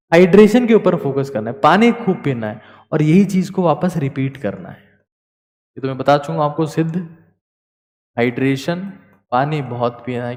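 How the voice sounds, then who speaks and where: A man giving a motivational study lecture as a teacher, emphatic and repeating key words, swinging between loud and soft. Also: on the high side, big-room sound.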